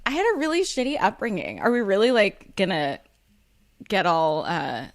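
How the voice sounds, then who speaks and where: A woman, talking candidly as an interview guest, frank and self-deprecating with lots of fillers and quick laughs. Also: mid-range voice, very animated, dry recording, clean studio sound. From United States. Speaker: author and guest.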